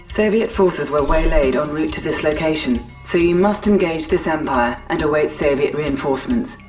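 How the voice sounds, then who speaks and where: A woman's voice: deep voice, normal speed, close to an everyday voice. A woman playing a composed, impersonal military announcer in a video game, clipped and formal. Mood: formal briefing. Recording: distant, under loud music.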